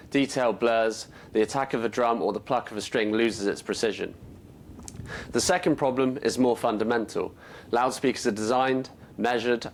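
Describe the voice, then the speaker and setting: A man presenting a scripted product explainer in a measured, explanatory way. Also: lowish voice. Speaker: company presenter.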